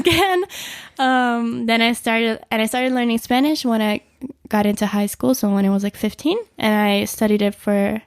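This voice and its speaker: Chatty young Swiss woman, higher voice. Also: creaky, dry recording, studio quality. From German-speaking Switzerland. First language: Swiss German. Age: young adult. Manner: chatty, laughs while talking, many fillers. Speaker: podcast co-host. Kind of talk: casual chat.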